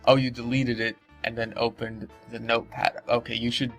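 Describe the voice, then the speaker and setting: A man's voice: mid-range voice, little pitch movement, normal speed, gravelly, soft background music, low-quality recording. A man reading aloud and breaking off for sarcastic, incredulous, sweary commentary. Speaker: host reading and mocking internet horror stories.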